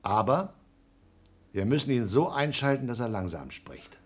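A man's voice: mid-range voice, sing-song, normal speed. A man playing a distrustful, argumentative man trapped with his rival, in a radio play. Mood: suspicious. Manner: suspicious, argumentative.

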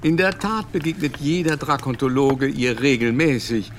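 An actor playing a learned English Jesuit doctor in a radio play, lecturing grandly and groping for German words. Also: male voice, medium pitch, normal speed, slight background noise, close to an everyday voice. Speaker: Jesuit scholar.

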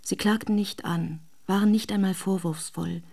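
A woman's voice: medium pitch, steady tone, normal speed, gravelly, darkish tone. An actress playing a pensive married woman in a radio drama inner monologue, introspective and often nearly whispering. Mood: remorseful, yearning. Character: pensive married woman privately recalling lover and husband, yearning.

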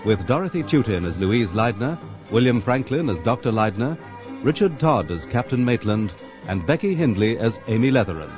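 A man's voice: medium pitch, very animated, moderate pace, over music. A man reading scripted cast credits as a radio drama announcer.

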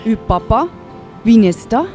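A woman's voice: a little high, expressive, unhurried, darkish tone, faint music behind. A woman playing a kind-hearted, feisty and protective young woman in a radio drama. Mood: concerned.

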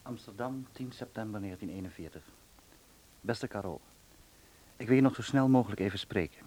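An actor playing a pushy, smooth-talking film producer in a wartime Dutch radio drama. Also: male voice, on the low side, fairly quick, darkish tone, a little hiss or hum, close to an everyday voice, poor audio. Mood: businesslike.

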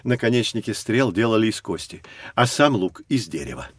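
A man playing a knowledgeable guide in an educational audio drama, explaining things in a measured, instructive way. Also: fairly low pitch, lively intonation, a little hiss or hum, natural voice. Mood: explanatory. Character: knowledgeable adult guide leading children through prehistory.

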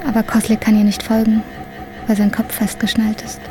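A woman's voice: mid-range voice, monotone, moderate pace, music in the background, natural voice. A woman narrating a radio drama as a detached storyteller, sober and literary in manner. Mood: detached, ominous. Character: detached female narrator of an unsettling hospital story.

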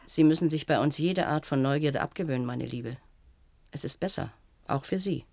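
An actress playing a strict, cold matron in a German radio play, giving orders in a brisk, condescending, authoritative manner. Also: female voice, sits low, creaky, a little mellow. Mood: stern, secretive. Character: strict, cold institutional matron with a callous streak. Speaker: head matron instructing a new attendant.

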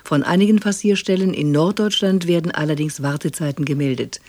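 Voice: mid-range voice, fairly quick, darkish tone, no room sound. A news reader delivering scripted radio bulletins in a formal, neutral manner.

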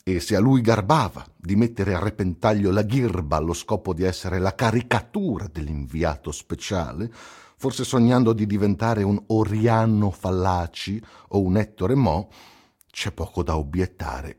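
An actor playing a sarcastic, scornful newspaper columnist in a theatrical radio recital. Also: male voice, low voice, normal speed, a little mellow, husky. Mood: mocking, dry. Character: sneering, sarcastic male newspaper columnist mocking a captive.

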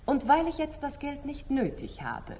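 An actress playing a shrewd, proud, devoted sister of a sultan, declaiming precisely in the theatrical style of 1940s German radio drama. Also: female voice, high voice, big pitch swings, normal speed, a little mellow. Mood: commanding, soothing. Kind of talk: 1940s German radio stage-classic acting.

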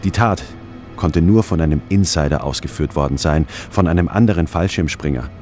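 An actor playing a brooding, introspective office anthropologist who narrates a radio drama in a measured way. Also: male voice, rumbling low, darkish tone, soft background music. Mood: brooding, detached. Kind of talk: scripted first-person radio drama narration.

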